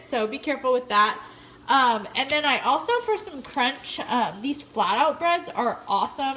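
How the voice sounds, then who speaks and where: A woman's voice: high voice, fairly bright tone, slight background noise, poor audio. A young American woman chatting casually to her viewers as a fitness vlogger, explaining things in an informal, chatty way. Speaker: fitness vlogger in bikini contest prep. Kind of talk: casual vlog chat to viewers.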